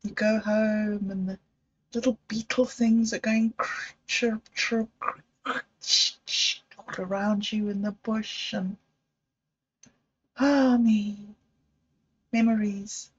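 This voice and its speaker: A woman who grew up in Zimbabwe, telling rambling personal stories on a livestream with frequent laughs and trailing pauses. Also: mid-range voice, steady tone. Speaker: livestream host. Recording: dull lo-fi sound.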